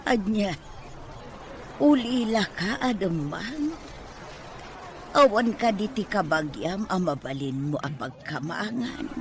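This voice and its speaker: A woman playing a kindly, motherly older woman in an audio drama, questioning gently and with concern. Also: medium pitch, expressive, takes it slowly, long pauses. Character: older motherly woman, concerned and charitable toward a poor girl. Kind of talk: Ilocano audio drama acting. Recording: noticeable background noise.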